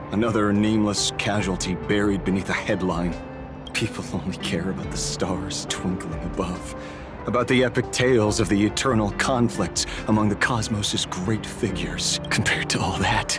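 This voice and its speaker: A man's voice: fairly low pitch, moderate pace, lightly acted. A man playing a world-weary, bitter detective in a video game, brooding and terse. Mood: grieving. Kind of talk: dramatic video game acting. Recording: background music.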